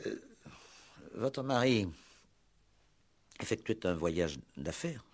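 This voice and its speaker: An actor playing a gruff, impatient police commissioner who questions tersely with measured pauses, in a sixties radio play. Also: male voice, lowish voice, lively intonation. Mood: formal, tactful. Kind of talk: 1960s French-language radio play acting.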